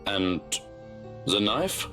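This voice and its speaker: A stoic guard speaks with controlled, commanding formality. His voice is on the low side, set over faint music, in horror game style. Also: very animated, drawn-out words, pushed and bright, full character voice. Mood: resigned. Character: stoic, stern male bodyguard, measured and commanding.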